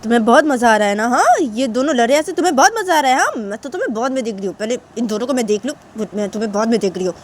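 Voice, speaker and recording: A lady putting on a squealing bossy mom yelling at her kids, voice jumping all over, little faint hiss behind. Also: very high-pitched, big pitch swings, fairly quick, pitched above the performer's own voice. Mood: stern threatening. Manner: scolding, shrieking orders. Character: shrill scolding mother breaking up a fight.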